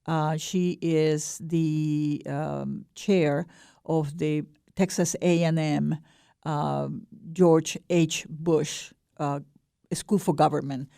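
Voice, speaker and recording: A mature woman with an Ecuadorian Spanish accent gives a radio interview in a low, gravelly voice. She speaks earnestly, often stopping and restarting, and the sound is dry and close. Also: fairly even intonation, extremely slow, warm tone, no echo. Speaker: guest, board director of a peace nonprofit.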